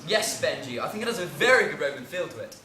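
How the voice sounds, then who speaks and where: A bossy, theatrical director of an amateur play, with a high-pitched voice that swings widely. He marshals his cast with exasperated patience in an echoey room. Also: sing-song, fast, bright, forceful tone. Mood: instructing. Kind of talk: acted comedy drama dialogue. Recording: echoey room, some distance, faint noise.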